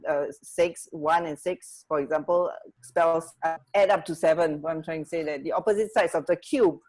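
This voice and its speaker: A woman with a slightly low voice co-hosts a casual radio show. She speaks in a hesitant, conversational way, often stopping to rephrase what she means. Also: a little mellow, soft background music. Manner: hesitant, halting, self-correcting. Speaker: radio co-host. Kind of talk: casual chat.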